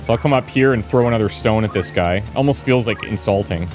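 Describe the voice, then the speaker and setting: Low-voiced man commenting casually on gameplay. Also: fast talker, music in the background. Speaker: host of a gameplay series.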